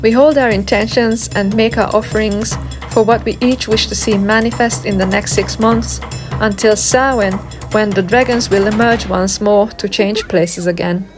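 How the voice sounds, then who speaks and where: A woman's voice: mid-range voice, steady tone, moderate pace. Woman narrating reverently over prominent music. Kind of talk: scripted documentary narration. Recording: music behind the voice.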